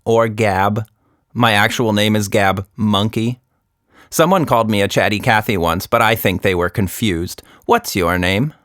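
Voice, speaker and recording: A man's voice: fairly low pitch, unhurried, fairly bright tone, dry recording, studio quality. A man voicing a small, timid but chatty monkey for a children's story, rambling earnestly and fussily.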